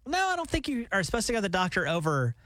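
A man co-hosting a radio show, chatty and quick to laugh, his voice nasal from stuffed-up sinuses. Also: high-pitched, huge pitch swings, a bit bright, no echo, studio quality. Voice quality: nasal, congested. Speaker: radio co-host. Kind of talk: casual radio banter.

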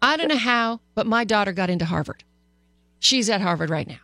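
A woman hosting a radio call-in show as a psychologist, giving animated, encouraging advice. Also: mid-range voice, brisk, dry recording, studio quality, soft background music. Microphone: studio microphone.

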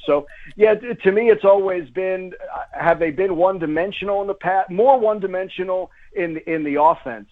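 Phone-line male sportscaster, higher-pitched and emphatic. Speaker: sports play-by-play broadcaster, interview guest. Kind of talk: conversational sports analysis. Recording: tinny, phone-like guest line.

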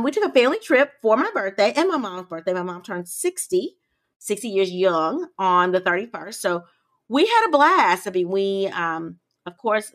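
Chatty woman in her forties, lively pitch. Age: 40s. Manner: chatty, emphatic, addresses listeners as y'all. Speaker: podcast co-host. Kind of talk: casual chat.

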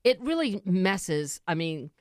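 A woman's voice: fairly low pitch, big pitch swings, takes it slowly, dry recording, clean studio sound. Chatty middle-aged woman co-hosting radio. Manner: chatty, laughs often, wry. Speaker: radio morning show co-host. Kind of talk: casual radio banter. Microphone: studio microphone.

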